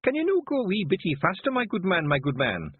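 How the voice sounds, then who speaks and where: A man voicing a pushy, rich male passenger who speaks broad Scots in a gruff, bossy way, with a husky voice. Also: high voice, wildly swooping pitch, fast, pitched above the performer's own voice, exaggerated voice. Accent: Scottish. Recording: dry tinny phone-like sound.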